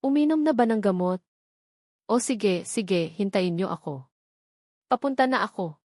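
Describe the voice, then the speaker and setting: A woman's voice: medium pitch, expressive, unhurried, darkish tone, no room sound, clean studio sound. A woman narrator voicing an anxious, deferential young mother who works as a secretary, speaking politely and hesitantly. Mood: alarmed, worried.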